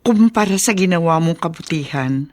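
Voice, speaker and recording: A woman's voice: lowish voice, expressive, moderate pace, a little mellow, close to an everyday voice. A female actor playing a gracious, grateful visitor in a radio drama, polite and earnest. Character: gracious, grateful adult gift-bearing visitor praising goodness.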